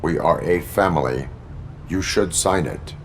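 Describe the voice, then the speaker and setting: A man voicing a stoic cyborg police officer in a video game, with stiff, formal, deadpan delivery. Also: deep voice, measured pace, slight background noise. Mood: flat, dutiful.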